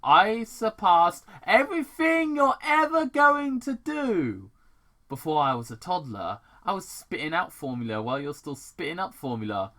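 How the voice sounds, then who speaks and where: Man acting out an arrogant, sharp-voiced mad scientist. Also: sits high, sing-song, normal speed, pitched above the performer's own voice, exaggerated voice. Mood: boastful. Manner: condescending, snappish. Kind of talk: amateur fan fiction read-aloud.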